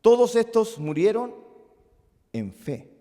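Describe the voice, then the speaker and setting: Chilean pastor preaching, warm mellow voice, big echoey church hall. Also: male voice, high-pitched, very animated, slow pace. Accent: Chilean Spanish. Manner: impassioned, swings from loud emphatic peaks to soft musing asides. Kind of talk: sermon. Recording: long reverb of a church hall.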